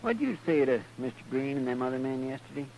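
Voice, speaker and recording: Guy doing a creaky twangy western sidekick, loyal and simple, rambles a bit, old humming radio show. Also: mid-range voice, animated, fast talker, a little mellow, slight background noise, natural voice, rough recording. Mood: curious. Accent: rural American Western drawl. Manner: deferential, rambling, hesitant. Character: folksy, loyal, simple-hearted deputy sidekick in Old West. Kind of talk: 1950s radio western acting.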